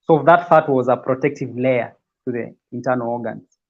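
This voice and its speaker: A man's voice: medium pitch, normal speed, gravelly, muffled recording. A man from Kenya, a guest on a fitness livestream, talking casually and often repeating words as he explains.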